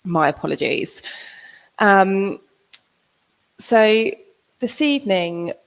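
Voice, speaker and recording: A middle-aged woman, husky from a cold, giving a chatty live-streamed talk as a fitness coach, with lots of ums. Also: medium pitch, animated, rough recording. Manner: chatty, fills pauses with um. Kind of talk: casual livestream talk.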